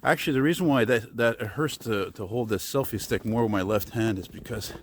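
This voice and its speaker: An older man rambles through a walking vlog in a deep baritone. He talks outdoors on snowy streets into a phone on a selfie stick. A faint hum of street noise sits behind him. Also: brisk. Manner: chatty and meandering, with mild swearing and self-deprecating asides. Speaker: vlogger.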